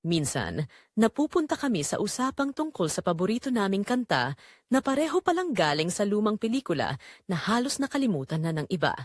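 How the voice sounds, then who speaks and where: A woman's voice: medium pitch, big pitch swings, a little fast, no room sound, studio quality. Woman playing a lonely wife, expressively confessing. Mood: yearning, secretive. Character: Celine, lonely wealthy wife confessing forbidden longing. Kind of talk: dramatic first-person story narration.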